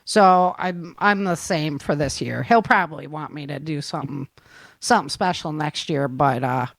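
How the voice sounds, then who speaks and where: Female host, dry recording, chatty and informal, animated delivery. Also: low-pitched, normal speed, creaky, no echo. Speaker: podcast host and self-defense instructor. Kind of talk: casual chat.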